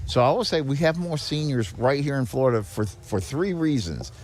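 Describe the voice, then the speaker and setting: A man's voice: mid-range voice, expressive, a little fast, darkish tone. An older man with a mid-range voice talks as a guest on a podcast. He explains in a folksy, conversational way, leaning on anecdotes and repeating his key points with emphasis. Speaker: podcast guest, head of a seniors' nonprofit. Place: on location at a garage.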